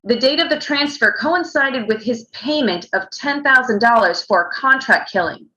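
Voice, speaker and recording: A woman acts a probing news reporter in a screenplay read. Her voice is bright and fairly high, pressing with accusation. The sound is echoey and a little dull. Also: moderate pace. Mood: pointed, accusing. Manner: pointed, accusatory questioning. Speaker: actor in a virtual table read. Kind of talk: screenplay table-read acting.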